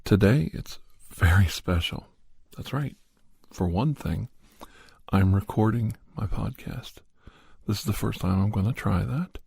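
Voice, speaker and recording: A man with a low, mellow, husky voice hosts an ASMR podcast in a soft, casual monologue. He has a slight head cold. He is recorded close and dry on a studio microphone. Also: a little slow, no room sound. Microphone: studio condenser microphone, close.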